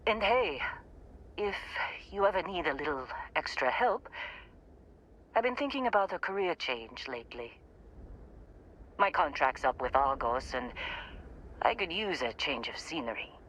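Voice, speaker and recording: A gruff female outpost supervisor with a low voice. She talks in a dry, blunt, no-nonsense way, naturalistic as a video game character, over faint background ambience. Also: animated, measured pace, pauses often, a bit bright, a little hiss or hum, rough recording. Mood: gruff, sincere.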